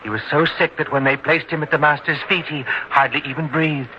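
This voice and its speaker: A devout man of biblical times, speaks with solemn earnestness in old-time radio drama style. His voice is very bright and forceful, on a slightly noisy, lo-fi recording. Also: fairly high pitch, steady tone, a little fast. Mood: awed. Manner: solemn, earnest storytelling delivery. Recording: lo-fi old-time radio sound, faint noise.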